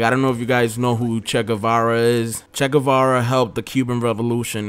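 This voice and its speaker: A man's voice: medium pitch, clean tone. A young man from the Dominican Republic giving a casual, rambling opinion vlog, often restarting and correcting himself. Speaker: vlogger.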